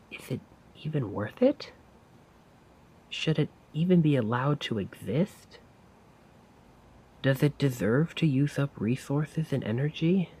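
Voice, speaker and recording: Man acting a slow, brooding, weary poet. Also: medium pitch, a little mellow, slight background noise, poor audio. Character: weary, self-doubting failed male poet, gruff yet gentle. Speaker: roleplay audio performer. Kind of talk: soft-spoken ASMR roleplay monologue.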